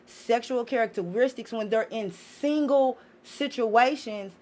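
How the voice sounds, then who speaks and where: A woman's voice: on the high side, unhurried. A woman in her forties talking casually and emphatically on a podcast, outspoken and full of slang and swearing. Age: 40s. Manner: outspoken, animated, emphatic, often addressing listeners directly. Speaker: podcast guest, interviewer in clubs.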